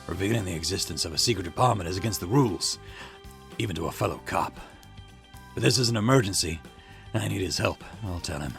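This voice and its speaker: A man voicing a gruff, impatient older police detective in a visual novel, with curt, weary, grumbling delivery. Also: low voice, lively intonation, fast, fairly bright tone, full character voice. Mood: guarded, serious. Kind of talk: acted visual novel dialogue. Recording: music behind the voice.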